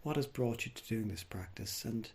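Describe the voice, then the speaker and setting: A man leading a guided body scan meditation, speaking gently and without hurry, leaving long pauses. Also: fairly low pitch, slightly rough. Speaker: mindfulness meditation teacher.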